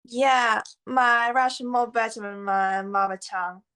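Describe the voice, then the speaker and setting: A woman whose first language is Buryat, speaking slow, simple English with fillers as a guest on a video call. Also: a little high, steady tone, pushed and bright, smooth. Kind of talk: casual video-call chat.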